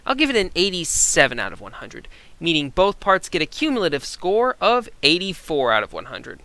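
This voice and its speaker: A man co-hosting a review in a conversational but scripted style, with an animated, emphatic manner. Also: on the high side, moderate pace, vocal fry, no echo. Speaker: co-host reviewer.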